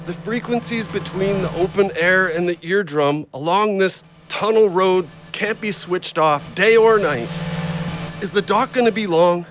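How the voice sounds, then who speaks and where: Man, high voice, fixated injured labourer, rambling, over-precise, anxious, audio play, noisy background. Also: measured pace, fairly bright tone. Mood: fixated, insistent. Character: agitated, rambling injured ex-logger, fixated on his ears. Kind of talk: audio drama acting.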